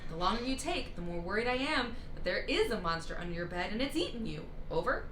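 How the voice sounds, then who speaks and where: A woman reading a storybook aloud as a teasing, excitable young girl talking urgently on a walkie-talkie. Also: mid-range voice, fast. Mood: teasing, excited. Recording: roomy sound with background hiss.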